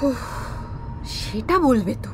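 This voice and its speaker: A woman's voice: sits high, expressive, takes it slowly, over music. An actress playing an anxious, questioning married woman in an audio drama. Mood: uneasy. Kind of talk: Bengali audio drama acting.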